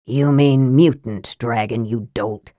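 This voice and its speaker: A woman voicing a cold, rasping young mage. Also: slow, no room sound, studio quality. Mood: scornful. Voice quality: rasping, low. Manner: scornful, sharp. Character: cold sickly young male mage, cutting. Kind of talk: audiobook character voice.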